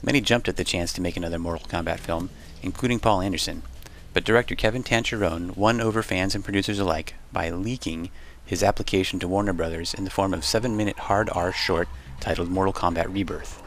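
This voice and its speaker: A man's voice: low voice, brisk, fairly bright tone, a little hiss or hum. A man reading scripted documentary narration over background music. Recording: music under the voice.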